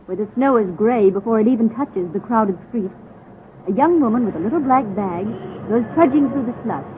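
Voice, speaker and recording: A woman's voice: a little high, expressive, a little fast, slight background noise. A woman reading dramatic scripted narration for a 1930s radio program. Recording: tinny 1930s radio recording. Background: music.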